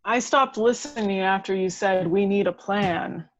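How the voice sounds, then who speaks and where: Muffled noisy mic, lady doing blunt literal alien warrior guy, slow, script read at home. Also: medium pitch, lightly acted. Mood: deadpan. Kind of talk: amateur table read of a film script. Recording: muffled home microphone with noise and clicks.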